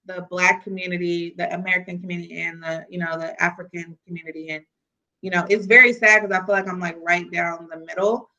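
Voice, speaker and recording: A woman's voice: on the low side, fairly even intonation, a little fast, bright, forceful tone, poor audio. A young woman from the American Midwest, a podcast guest speaking earnestly and reflectively, with frequent "you know" fillers. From Kansas City, US Midwest. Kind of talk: casual conversational interview.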